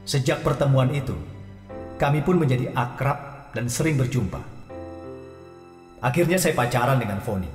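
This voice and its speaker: A man's voice: mid-range voice, moderate pace, long pauses. Man, scripted first-person narration, wry and chatty, echoey distant sound. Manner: chatty, addresses listeners directly, wry. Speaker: narrator telling his own story as a taxi driver. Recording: roomy, echoey, distant mic with music.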